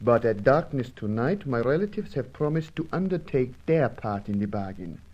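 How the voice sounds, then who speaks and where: A man's voice: mid-range voice, animated, moderate pace, a little mellow, natural voice. Man playing deferential Brazilian manservant, slightly muffled. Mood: earnest. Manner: formal, hesitant, foreign-style phrasing. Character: deferential Brazilian manservant and shady informant, earnest. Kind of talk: old-fashioned radio serial acting.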